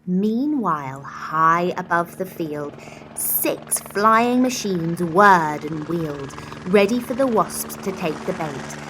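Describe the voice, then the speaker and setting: A woman's voice: lowish voice, animated, a bit bright, a little hiss or hum, low-quality recording. A woman reading a children's picture book expressively, in a dramatic, rhythmic way that draws out words. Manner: dramatic, rhythmic rhyming delivery with drawn-out words. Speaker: storyteller.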